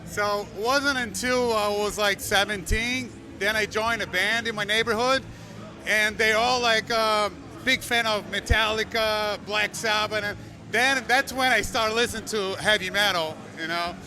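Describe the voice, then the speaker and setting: A man from Brazil with a Brazilian Portuguese accent, a Portuguese speaker, answering interview questions in a friendly, halting way. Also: sits high, steady tone, pushed and bright. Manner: friendly, halting, searching for words. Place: outdoors at a noisy event.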